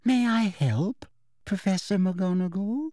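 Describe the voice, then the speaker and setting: A man's voice: high-pitched, lively intonation, takes it slowly, dark tone, dry recording, clean studio sound, voice pushed up. A man voicing a smug middle-aged female official in an audiobook, simpering with poisonous, falsely polite sweetness. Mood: poisonously sweet.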